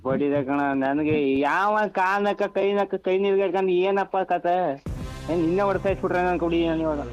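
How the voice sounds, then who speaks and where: A man's voice: high-pitched, steady tone, fairly quick. A man with a Mysuru Kannada accent on a casual phone call, blunt, slangy and exasperated, with music underneath. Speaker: prank call victim.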